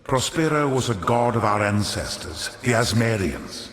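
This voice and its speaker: An actor playing a wily, vain, centuries-old merchant lord in a video game, pompous and theatrical, with ornate aristocratic diction. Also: male voice, lowish voice, expressive, moderate pace, a bit bright. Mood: reverent, lofty. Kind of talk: scripted video game character monologue. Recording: echoey hall with long reverb.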